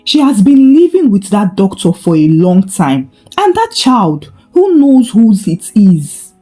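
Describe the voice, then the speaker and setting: A scheming older mother-in-law in a folktale put on by a woman narrator. She is manipulative, with a mellow tone and widely varied pitch. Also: big pitch swings, slow pace, faint music behind. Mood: insinuating. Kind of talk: dramatised folktale storytelling.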